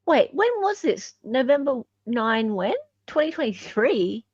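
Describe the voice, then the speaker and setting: A woman's voice: fairly high pitch, very animated, measured pace, darkish tone, slightly rough, no echo. An Australian woman hosting a casual livestream, doing dramatic readings and commentary in a sarcastic, bantering way. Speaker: livestream host. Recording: dull lo-fi audio. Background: music.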